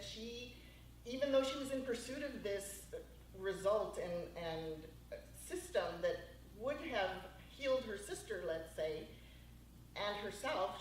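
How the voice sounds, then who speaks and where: A woman moderating a panel with upbeat enthusiasm, turning to talk straight to the listeners. Her voice comes from a distance in a very echoey room. A faint hiss sits underneath. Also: medium pitch. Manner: enthusiastic, addresses listeners as folks. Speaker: panel host.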